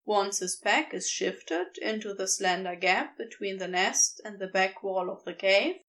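A woman narrating a fan fiction story aloud with unhurried, expressive delivery. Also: mid-range voice. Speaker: podfic reader.

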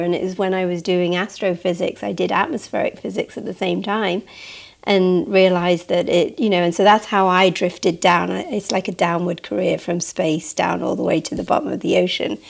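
A woman's voice: lowish voice, creaky, darkish tone. A woman from Manchester, speaking reflectively and conversationally as a panel guest. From Manchester, England.

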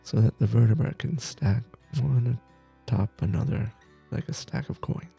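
A man leading a guided meditation as teacher, gentle and soothing, with soft breathy phrases that trail off between long pauses. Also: medium pitch, faint music behind. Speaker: meditation teacher, podcast host.

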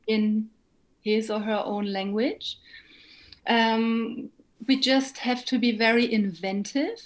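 A German-accented woman, a native German speaker, answering interview questions in English with thoughtful, measured explanations. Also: fairly high pitch, fairly even intonation. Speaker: guest, musician and language coach. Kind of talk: conversational interview.

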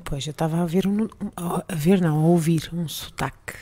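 A woman's voice: deep voice, steady tone, fairly quick, mellow. A woman hosting a casual interview, prompting her guest with short questions. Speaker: podcast host.

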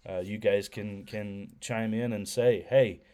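A young man co-hosting a faith podcast, talking casually with a head cold that makes him a little nasal, often pausing with ums and restarting. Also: lowish voice, fairly even intonation, dark tone, a little hiss or hum. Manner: hesitant, many ums and restarts. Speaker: podcast co-host.

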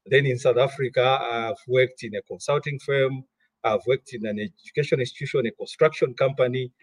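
A man's voice: medium pitch, a little fast, no room sound. A middle-aged man from Malawi, a guest in an interview, who often repeats words while gathering his thoughts. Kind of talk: interview conversation.

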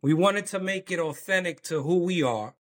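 Man, slightly higher voice, relaxed and halting. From New York. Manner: relaxed, halting with many ums, chuckles. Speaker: clothing brand owner, guest. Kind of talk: casual interview chat.

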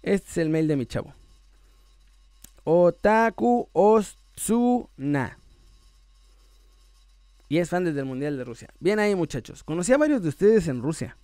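Man, Mexican accent, high-pitched voice, video channel host, casual chat, playful delivery, stretched emphatic words, dry recording. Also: mellow, no echo. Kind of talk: casual chat to viewers, reading out messages and shout-outs.

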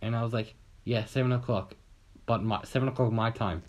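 A man's voice: medium pitch, fairly even intonation, brisk. Rambling young man telling a story. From Australia. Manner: rambling, swears casually, acts out quotes. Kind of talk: casual chat, storytelling.

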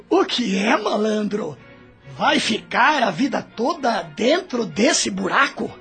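A man's voice: very high voice, pushed and bright, slightly rough, full character voice. A man voicing a menacing, mocking male spirit in a radio drama, drawling his taunts with cackling mirth. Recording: music behind the voice.

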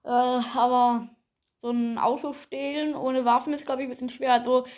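A woman's voice: high-pitched, little pitch movement, normal speed, a little mellow. A woman improvising a homemade audio play, chatting casually and hesitantly straight to the listeners.

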